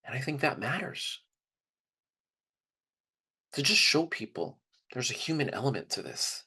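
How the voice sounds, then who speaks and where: A man's voice: medium pitch, big pitch swings, a little slow, sharp tone, slightly rough. A man telling personal stories in a casual, conversational way, candid and openly emotional. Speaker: trip leader for student service trips.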